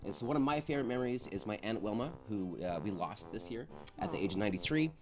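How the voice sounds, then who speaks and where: A man's voice: lowish voice, expressive, fast, over music, poor audio. A man telling personal stories in a casual, chatty style on a panel show. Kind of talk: casual chat, personal storytelling.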